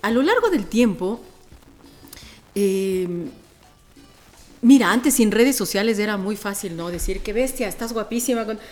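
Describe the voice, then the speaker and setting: A woman with an Ecuadorian accent and a deep, strong voice, chatting casually and colloquially as a radio presenter telling stories in an animated way. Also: a little slow, pauses often. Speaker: radio presenter being interviewed. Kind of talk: casual podcast chat. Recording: music playing behind the voice. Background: music.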